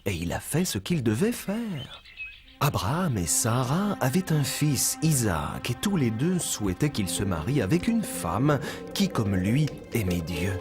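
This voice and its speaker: A man playing a kindly storytelling mentor in a children's cartoon dub, with measured, instructive delivery. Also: mid-range voice. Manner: measured, expressive, instructive narration. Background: music behind the voice.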